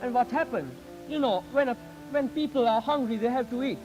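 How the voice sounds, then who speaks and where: A man's voice: very high voice, brisk, a little mellow, soft background music, rough recording. A teenage male youth delegate, giving an earnest, declamatory formal speech in a 1950s archival recording. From Sri Lanka. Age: teens. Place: public speaking event.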